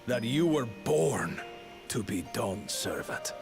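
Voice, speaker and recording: An unhurried, swooping male schemer from a video game. He is oily and grandiose, flattering his master while coldly ambitious, with music playing underneath. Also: medium pitch, gravelly, heavily acted. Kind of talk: video game cutscene acting.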